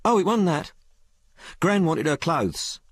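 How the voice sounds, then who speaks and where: A man voicing a rough, frank working-class young woman in an audiobook, blurting out her tale in colloquial, ungrammatical speech. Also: fairly high pitch, big pitch swings, slow pace, no echo, clean studio sound, voice pushed up, heavily acted. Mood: frank, gossipy. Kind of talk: audiobook character dialogue.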